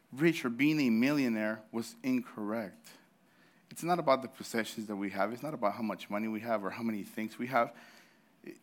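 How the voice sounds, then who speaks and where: A man in his thirties preaching at a church service with an animated, storytelling delivery. He breaks into laughs and restarts his sentences, and his voice sits in a middle pitch. His throat is a little sore. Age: 30s. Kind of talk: sermon.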